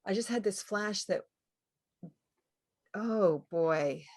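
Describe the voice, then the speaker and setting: A woman's voice: mid-range voice, takes it slowly, a little mellow. A woman interviewer chatting casually about spiritual matters in a tentative, musing way.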